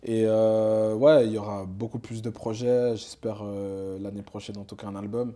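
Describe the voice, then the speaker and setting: A man's voice: on the low side, flat delivery, normal speed, warm tone. A young man, a rapper talking casually in an interview, loose and slangy with lots of fillers and restarts. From Switzerland. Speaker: rapper guest.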